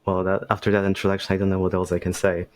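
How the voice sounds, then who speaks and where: A man's voice: deep voice, completely flat delivery, racing. A man in his twenties from Poland, a native Polish speaker, chatting as a podcast guest over a video call with a hesitant, umming way of talking. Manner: hesitant, with many ums and restarts. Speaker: podcast guest, writer and game master. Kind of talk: casual podcast chat.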